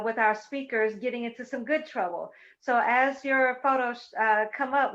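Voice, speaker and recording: A fairly high-voiced middle-aged woman hosts a webinar panel, pausing on fillers as she introduces guests and talks. Her voice comes through muffled, lo-fi video-call audio. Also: a bit bright. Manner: measured, with frequent fillers. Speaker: moderator and host. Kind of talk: webinar moderation and personal remarks.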